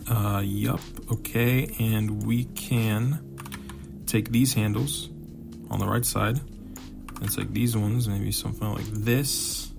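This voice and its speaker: A man with a low, gravelly voice talks through a software tutorial as he works. He thinks aloud in a loose, relaxed way, with many small fillers between steps. Clear background music plays under his voice-over. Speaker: tutorial host. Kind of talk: screen-recorded software tutorial.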